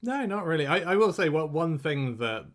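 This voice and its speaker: A man co-hosting a podcast in casual chat, relaxed and wry, often hesitating and restarting. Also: fairly high pitch, normal speed. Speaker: podcast co-host.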